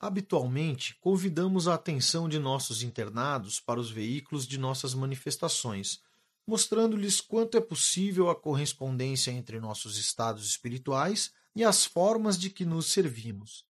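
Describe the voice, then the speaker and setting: A man with a Brazilian Portuguese accent playing a kindly, instructive director of a spirit-world refuge in a radio drama, speaking in a measured, formal way. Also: mid-range voice, animated, breathy edge.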